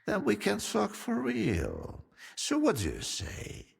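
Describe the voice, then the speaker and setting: An actor playing a boozy, sardonic older scientist in a video game, theatrical and philosophising, with a husky, gravelly voice. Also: male voice, sits high, wildly swooping pitch, slow, big-room sound, full character voice. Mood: boozy, charitable. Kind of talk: video game cutscene acting.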